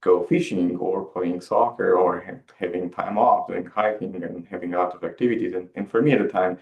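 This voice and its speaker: A man in his forties from Romania with a Romanian accent, answering interview questions in halting English and repeating words as he searches for them. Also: low-pitched, fairly even intonation, creaky, lo-fi sound. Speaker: guest.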